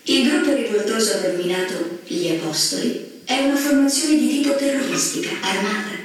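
Female, actress as dutiful, formal assistant, higher-pitched, heard from afar in stage drama. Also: lively intonation, brisk, lightly acted. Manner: formal, deferential. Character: dutiful female aide reporting to her superior. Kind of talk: formal scripted report. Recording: distant voice in very echoey space.

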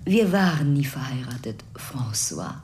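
A woman's voice: rumbling low, very animated, a little slow, a little mellow, a little hiss or hum, heavily acted. An actress playing a scornful, bitter estranged woman who speaks in a cutting way. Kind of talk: radio play acting.

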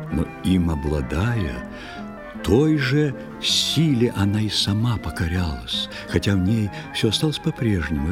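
Man, poetic audio-play narrator, deep register, wide expressive melody, measured delivery. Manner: lyrical, measured, expressive storyteller. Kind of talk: dramatic verse narration, audio storytelling. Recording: music under the voice. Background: musical instruments playing.

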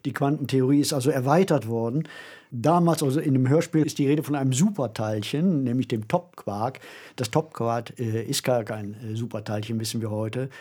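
Husky-voiced man explaining in conversation. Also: mid-range voice, darkish tone. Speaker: podcast discussant, author.

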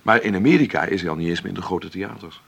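An actor playing a wry, stubborn middle-aged film maker who talks haltingly and conversationally in a radio play interview. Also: male voice, low voice, vocal fry, slightly rough, heavily acted. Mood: musing, modest. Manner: conversational, halting, adds tag questions. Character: celebrated 53-year-old film maker, wry, stubborn, self-made. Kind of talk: radio drama played as an interview.

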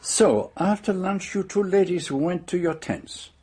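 An actor playing a courteous, methodical Belgian detective with a French-speaking accent, questioning precisely in a radio drama. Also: male voice, high voice, unhurried, a little mellow, slightly rough. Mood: courteous inquiry. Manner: polite, precise, probing questioning.

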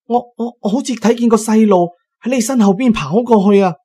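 Man voicing a high-pitched, nervous young mountain woman. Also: fairly quick, mellow, slightly rough. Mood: frightened, urgent. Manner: stammering, urgent. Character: timid, superstitious young mountain woman, frightened and breathless.

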